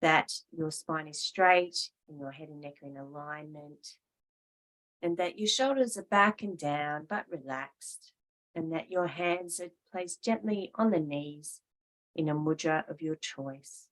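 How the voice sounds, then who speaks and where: A woman teaching yoga and guided meditation, calm and measured, leaving pauses between short phrases. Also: low voice, rough recording.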